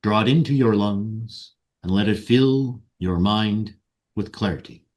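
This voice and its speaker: Male meditation guide, lowish voice, very slow delivery, solemn ritual tone with measured phrasing. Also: a little mellow. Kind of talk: guided meditation, ceremonial invocation.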